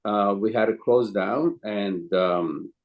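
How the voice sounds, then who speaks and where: A man in his sixties from Calabria, Italy, speaking English with an Italian accent as an interview guest, telling his story in a hesitant, rambling way with many pauses and some non-native phrasing. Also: on the low side, highs cut off. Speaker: guest, chef and caterer.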